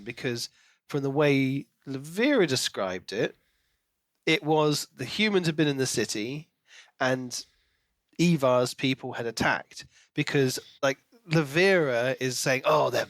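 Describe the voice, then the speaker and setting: A man's voice: medium pitch, a bit bright, dry recording. A man co-hosting a podcast in casual book discussion, acting out reactions as he retells. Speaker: podcast co-host.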